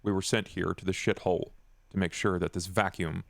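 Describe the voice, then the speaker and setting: A man plays a jaded vampire enforcer for a tabletop horror game. His voice is very deep and thick with fry, deliberate and candid, in a dry recording. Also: level tone, dry recording.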